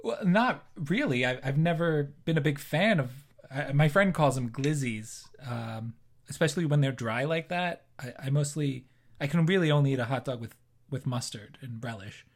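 Halting, self-mocking male co-host, mid-pitch voice. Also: expressive. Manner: hesitant, self-deprecating. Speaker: podcast co-host. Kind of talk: casual improv chat.

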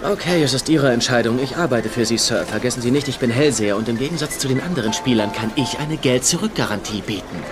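A man's voice: medium pitch, quick pace, hardly any pauses, over music, close to an everyday voice. An actor playing a cocky, playful young man who poses as a psychic detective, talking in a flippant, theatrical way in a dubbed comedy-drama. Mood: cocky, persuasive.

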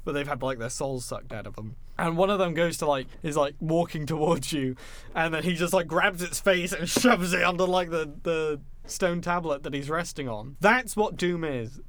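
A man's voice: fairly high pitch, normal speed, a bit bright. A man in his twenties chatting casually as a podcast co-host, opinionated and sweary. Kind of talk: casual chat about films and games.

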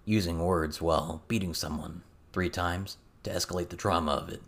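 Guy reading as calm low-voiced man, few words, understated, protective type, audiobook. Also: moderate pace. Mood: thoughtful.

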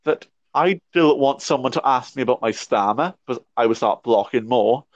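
A man's voice: mid-range voice, normal speed, no room sound. A young man in his twenties, a podcast guest, talking reflectively with a stammer and frequent restarts. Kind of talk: casual conversation. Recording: dry muffled lo-fi audio.

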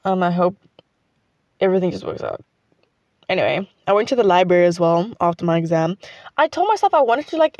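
A woman's voice: on the low side, darkish tone, no echo, dull audio. A teenage girl from South Africa with a South African accent, Xhosa her first language, chatting casually in a rambling vlog full of "like". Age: teens. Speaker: student vlogger.

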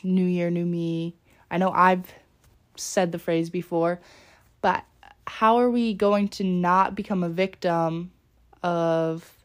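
A young woman hosting a solo podcast in a casual, rambling way, her voice a little raspy from being sick. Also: lowish voice, a little mellow. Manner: chatty, rambling, with many fillers. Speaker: podcast host.

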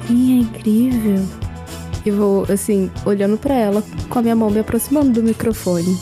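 A woman playing in a tabletop game, describing her character's actions in relaxed, deliberate talk. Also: on the high side, faint music behind. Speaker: player.

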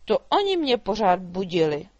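A woman voicing a sleepy, gentle male dragon with a slow, drowsy way of asking things, in a storybook reading. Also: on the low side, lively intonation, no room sound, dull audio. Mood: agitated.

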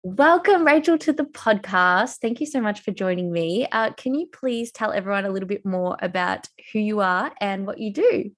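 A woman's voice: mid-range voice, animated, normal speed, hardly any pauses. A woman hosting a podcast interview in an upbeat, enthusiastic way. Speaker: podcast host.

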